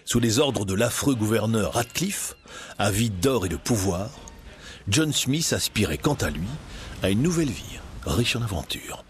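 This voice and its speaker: A man narrating a storybook tale in an expressive, scripted reading. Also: fairly low pitch, measured pace.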